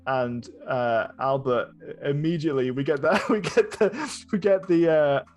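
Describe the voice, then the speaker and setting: A man narrating a tabletop game as game master, improvising in a halting way and often rephrasing. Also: a little high, a little mellow, faint music behind.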